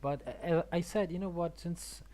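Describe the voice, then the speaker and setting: A man from Argentina chatting casually on a game stream, haltingly thinking aloud and repeating words. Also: a little high, mellow. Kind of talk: casual rambling stream chat about games.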